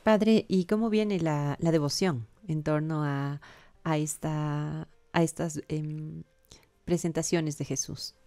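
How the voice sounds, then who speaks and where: A woman's voice: low voice, fairly even intonation, takes it slowly, dark tone, no echo, faint music behind. A woman hosting a radio interview, asking a question with some hesitation in a studio. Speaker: radio host. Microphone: studio microphone with headphones.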